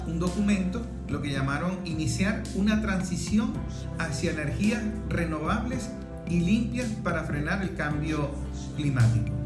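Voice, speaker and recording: A Venezuelan man presents political commentary in a slightly raised pitch. He sounds conversational yet didactic, pausing for emphasis. The room adds clear echo, and music plays underneath. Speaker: host. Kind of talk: political commentary to camera, explanatory. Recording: roomy echo with music behind. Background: background music.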